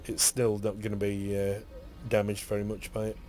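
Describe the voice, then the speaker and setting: A man with a husky, hoarse voice from a cough, rambling casually while streaming a game and reading item text aloud. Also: on the low side, completely flat delivery, moderate pace, dark tone, faint music behind.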